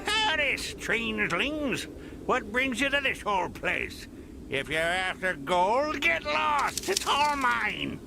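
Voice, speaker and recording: A man voicing a grumpy, gold-obsessed old miner in a game cutscene, gravelly, folksy and crotchety. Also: extremely high pitch, very animated, slow, exaggerated voice. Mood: suspicious. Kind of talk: animated game cutscene acting. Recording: music behind.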